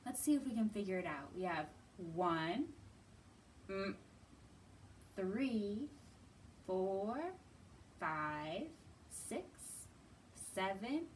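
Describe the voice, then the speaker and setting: A woman in her thirties presenting a children's storytime as a librarian, talking simply to small children with drawn-out words and thoughtful hums. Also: on the high side, slight background noise. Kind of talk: children's storytime teaching, read-aloud. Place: indoors in a library.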